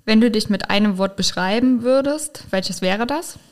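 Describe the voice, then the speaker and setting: A woman's voice: medium pitch, normal speed. A woman hosting a political podcast, asking questions in a chatty way with many fillers. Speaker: podcast host. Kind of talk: casual interview hosting.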